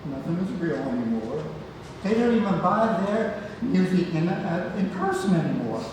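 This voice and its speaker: Casting: male actor as cranky elderly care-home patient, grumbling, rambling, slightly high-pitched, recorded far off in a noisy hall. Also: very animated, normal speed, a little mellow. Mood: gloomy, resentful. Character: cranky old great-grandfather, bedridden in a care home. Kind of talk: play table read. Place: reverberant theatre room, far from mic. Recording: echoey hall, distant mic, heavy noise.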